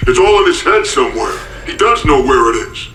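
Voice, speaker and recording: An actor playing a tense, insistent interrogator in a military video game, speaking in an accusing, urgent, clipped way. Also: male voice, fairly high pitch, very animated, brisk, sharp tone. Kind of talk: dramatic video game acting. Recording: echoey room, faint music.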